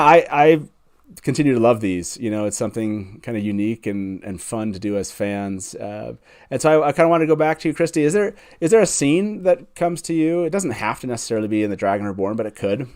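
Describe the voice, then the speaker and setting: A man's voice: mid-range voice, a little mellow. A man with an informal, enthusiastic manner hosting a casual fan livestream chat over a video call. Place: live online video call.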